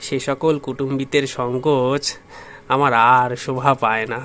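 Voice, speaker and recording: A man's voice: mid-range voice, little pitch movement, unhurried, fairly bright tone, soft background music, natural voice. A man playing a humble, anxious old father in an audio story, speaking hesitantly and deferentially. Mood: resigned. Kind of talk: audio story dialogue acting.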